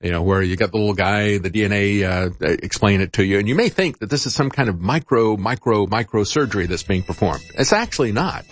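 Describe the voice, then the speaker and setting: A man's voice: on the low side, lively intonation, fairly quick, hardly any pauses. A middle-aged man hosting a talk show, giving informal, rambling, conversational news commentary. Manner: rambling, conversational, chuckles at his own asides.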